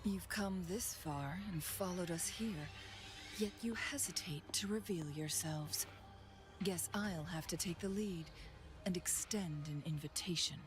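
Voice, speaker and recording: A woman's voice: lowish voice, a little slow, lightly acted. An actress playing a poised, cunning young woman strategist in a game, composed and coolly taunting. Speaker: game character. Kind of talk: game cutscene voice acting. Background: music.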